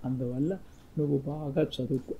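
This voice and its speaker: A male storyteller voicing an earnest, solemn father who gives measured counsel to his son in a moral tale. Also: mid-range voice, little pitch movement, lightly acted. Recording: muffled lo-fi, faint background noise.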